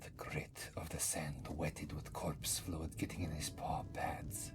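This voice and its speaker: A man voicing a mysterious, wry old cat-man, rambling in a breathy half-whisper for an ASMR fantasy roleplay. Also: rumbling low, level tone. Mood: somber, haunted. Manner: rambling, third-person speech, theatrical pauses. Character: mysterious old male Khajiit cat-man wanderer, wry, world-weary, teasing. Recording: music behind the voice. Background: prominent background music.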